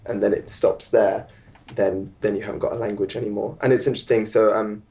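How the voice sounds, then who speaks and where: A young man, a filmmaker guest, chatting at a live panel in a halting way, with many ums and restarts. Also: fairly low pitch, little pitch movement, creaky, mellow. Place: live event on stage.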